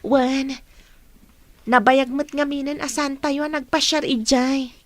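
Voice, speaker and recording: Woman as dutiful young Ilocano college girl, high voice, dry close sound, radio drama. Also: steady tone, slow pace, no echo. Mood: friendly. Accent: Filipino, Ilocano. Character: dutiful, studious young college student daughter.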